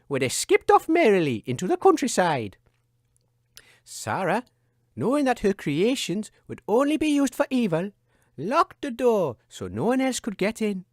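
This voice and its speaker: A man's voice: high voice, dry recording, studio quality, full character voice. An actor, a man, playing an earnest, theatrical storyteller narrating a children's fairy tale for comedy.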